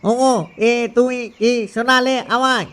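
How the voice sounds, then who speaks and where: A man's voice: very high voice, flat delivery, measured pace, sharp tone, heavily acted. A man voicing an easygoing, doting father duck husband in a cartoon. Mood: eager. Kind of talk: cartoon dialogue.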